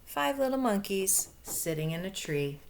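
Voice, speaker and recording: A woman's voice: mid-range voice, very animated, unhurried, a little hiss or hum, low-quality recording. A woman reading a picture book aloud to young children, expressively, with explanations and questions along the way. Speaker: storybook reader.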